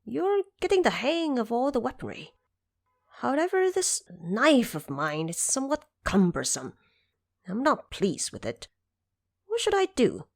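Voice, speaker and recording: A woman's voice: on the high side, wildly swooping pitch, a little slow, creaky, dry recording, pitched above the performer's own voice, heavily acted. A woman voicing a boastful, cocky male monkey king with proud, declamatory delivery in a fantasy audio drama. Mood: dissatisfied.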